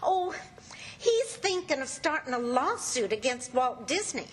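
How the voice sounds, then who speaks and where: A woman's voice: high voice, big pitch swings, unhurried, slight background noise. A woman playing a patient, teasing housewife with a wry streak in an old-time radio comedy. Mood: teasing, fond.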